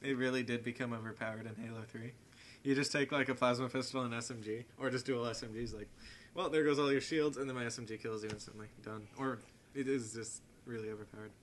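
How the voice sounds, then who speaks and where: A man's voice: mid-range voice, steady tone, fast. A young man chatting casually and enthusiastically about video games as a podcast co-host, with firm opinions. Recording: amateur podcast, clear background noise.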